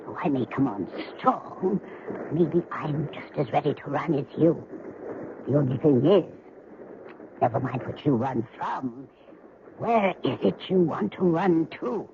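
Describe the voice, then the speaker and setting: Low-voiced, sharp-tongued old woman. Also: expressive, a little slow. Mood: probing. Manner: blunt, probing, wry. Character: frail but blunt, sharp-tongued old woman patient. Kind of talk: radio drama acting. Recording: slightly dull, noisy old radio drama recording.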